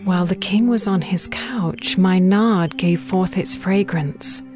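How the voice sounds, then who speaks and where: An actress playing a tender, devoted young bride in a dramatized scripture reading, with measured, poetic delivery. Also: female voice, lowish voice, a little mellow, soft background music. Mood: tender, adoring.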